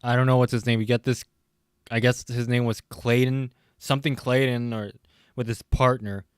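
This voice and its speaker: A man's voice: mid-range voice, little pitch movement, normal speed, clean tone, no echo, clean studio sound. A man giving a casual, rambling and hesitant recap with his opinions as a reviewer, his voice a bit tired from late-night talking. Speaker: wrestling show reviewer.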